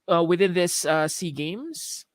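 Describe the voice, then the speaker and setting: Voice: medium pitch, lively intonation, measured pace, no room sound, clean studio sound. A host running a live online interview, moving between Tagalog and English with many fillers. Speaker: show host.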